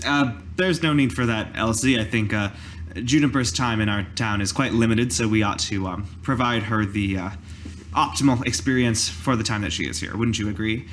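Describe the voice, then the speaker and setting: A man voicing a formal druid master in a tabletop game, speaking in deliberate, professorial phrases. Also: fairly low pitch, fairly bright tone, slight background noise, close to an everyday voice. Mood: measured, polite. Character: formal, measured male druid master giving lessons.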